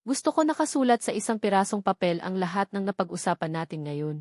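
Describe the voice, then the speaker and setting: An assertive young rich woman from an audiobook romance, read by a woman narrator. She is self-assured and demanding, with a mid-pitched voice in a dry recording. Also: very animated, fast, a little mellow, dry recording, lightly acted.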